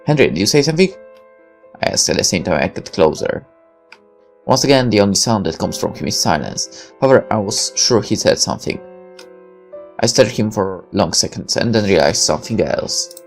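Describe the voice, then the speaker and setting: Casting: male narrator for a visual novel, creaky low-end edge, very expressive, soft music underneath. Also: normal speed. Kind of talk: dramatic first-person visual novel read-aloud.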